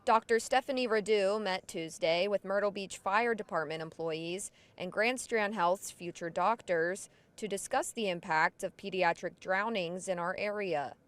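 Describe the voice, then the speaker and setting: A woman reporter narrates a local news package in a measured, even way. Her voice sits at a mid pitch, with clear, scripted phrasing. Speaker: field reporter.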